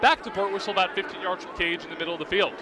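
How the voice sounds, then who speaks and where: A man's voice: sits high, steady tone, very fast, over a noisy crowd. A young man doing live radio play-by-play commentary in clipped, vivid present-tense calls. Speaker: play-by-play announcer. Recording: outdoor broadcast with clear background noise.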